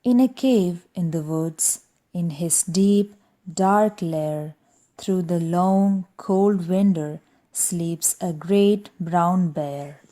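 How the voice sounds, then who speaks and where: A woman reading a children's rhyming storybook aloud in a deliberate, expressive, rhythmic way. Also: lowish voice. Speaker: storybook reader. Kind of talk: children's read-aloud narration.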